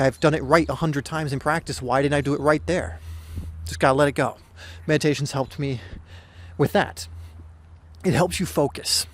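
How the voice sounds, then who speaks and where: A young man hosting a soccer podcast, talking casually and earnestly with a hoarse, slightly weak voice and frequent restarts. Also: on the high side. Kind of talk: casual solo podcast talk.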